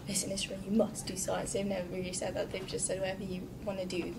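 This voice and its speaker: A young woman student speaks softly and with hesitation, pausing on her fillers. She is picked up from far off, with steady noise underneath. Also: medium pitch, creaky. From Pakistan. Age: young adult. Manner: soft-spoken, hesitant, many fillers. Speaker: sixth form student. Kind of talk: candid group discussion. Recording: distant mic with noise.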